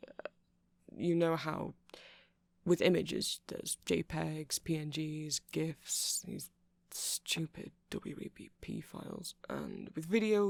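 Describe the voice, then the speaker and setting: A man playing a nerdy male vampire in an ASMR roleplay, rambling haltingly and passionately to a listener as he explains things. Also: a little high, creaky, no echo, exaggerated voice. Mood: earnest, nerdy.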